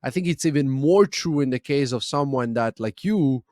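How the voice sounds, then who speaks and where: A male podcast host asking long, searching questions that circle and restart before landing. His voice is mid-pitched and recorded dry, with no room echo. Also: expressive, normal speed, a little mellow. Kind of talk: interview questions.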